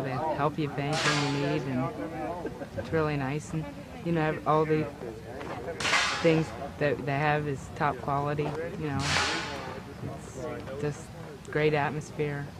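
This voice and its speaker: A man from Pennsylvania answers questions in a fairly high voice. He halts and fills the gaps with "you know". A loud crowd swells behind him outdoors. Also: little pitch movement. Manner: halting, with fillers. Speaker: camper interviewee.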